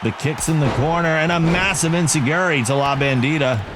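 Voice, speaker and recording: A man giving excitable wrestling play-by-play commentary for a video game league, joking about himself between calls. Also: fairly high pitch, a little fast. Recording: game crowd and noise behind the voice. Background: video game arena crowd.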